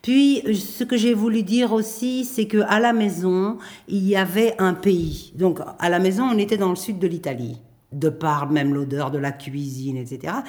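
A middle-aged woman, speaking thoughtfully in a radio interview, pausing and restarting often. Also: lowish voice, steady tone, darkish tone. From Belgium. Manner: thoughtful, hesitant with many pauses and restarts. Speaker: playwright and performer.

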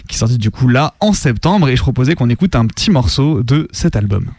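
A man co-hosting a casual live radio show, speaking haltingly as he searches for his words. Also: medium pitch, lively intonation, noisy background. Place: radio studio.

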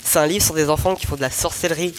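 A man's voice: fairly high pitch, steady tone, racing, lightly acted. A teenage boy playing an eager, simple village barber in a student audio drama. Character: eager, unlettered village barber, priest's helper. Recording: echoey hall, faint noise.

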